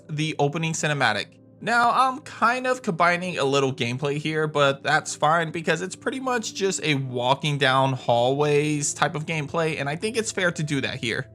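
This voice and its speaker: Man, higher-pitched forceful voice, opinionated gaming video narration, conversational, music behind. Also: expressive, normal speed, few pauses. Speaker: host of a gaming video channel. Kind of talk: video essay countdown narration. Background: background music.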